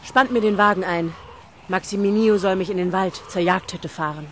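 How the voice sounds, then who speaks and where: An actress playing an imperious young aristocratic vampire woman in a radio drama, brisk and commanding. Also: medium pitch, natural voice. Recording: noisy outdoor ambience.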